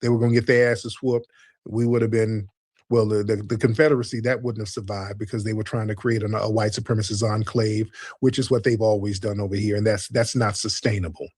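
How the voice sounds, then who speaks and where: A man's voice: on the low side, level tone, fairly quick, darkish tone, breathy edge, dry recording, clean studio sound. A man hosting a live stream debate, lecturing callers in an insistent, interrupting way. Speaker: stream host.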